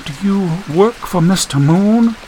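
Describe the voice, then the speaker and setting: A man's voice: high-pitched, expressive, a little slow, dark tone, a little hiss or hum, pitched above the performer's own voice. A man voicing a frightened, sick young woman in an audiobook, plaintive and polite. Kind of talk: audiobook character voice.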